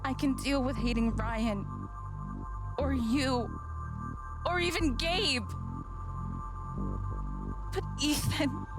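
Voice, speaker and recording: An actress playing a grieving, bitter young woman, raw and wounded, in a video game. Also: high-pitched.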